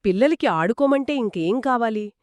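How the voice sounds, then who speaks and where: A woman co-narrating a children's story in a conversational, expressive and engaging style. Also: fairly high pitch, normal speed, dry recording. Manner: expressive, engaging, acts out characters' quoted lines.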